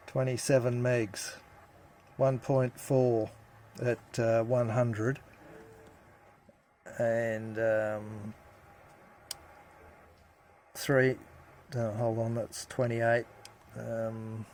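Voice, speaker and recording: A man giving a casual hands-on demonstration as a hobbyist, speaking haltingly as he thinks aloud. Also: mid-range voice, darkish tone, a little hiss or hum. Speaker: hobbyist radio presenter.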